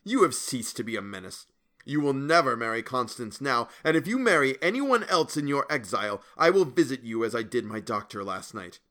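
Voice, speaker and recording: Gothic audiobook madman , male reader: grandiose, paranoid, imperious claimant to a throne, sharp forceful tone, melodramatic delivery. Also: medium pitch, big pitch swings, fairly quick. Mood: commanding. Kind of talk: dramatic gothic audiobook acting.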